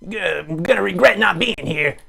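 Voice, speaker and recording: A man voicing a needy, melodramatic puppet streamer, theatrical, sarcastic and mock-grand, with a gravelly, husky voice, improvising a comic livestream. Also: sits high, expressive, moderate pace, bright, forceful tone, full character voice. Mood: excited, mock-grand. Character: melodramatic, needy puppet live streamer with big wry bravado. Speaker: host of a live puppet show stream.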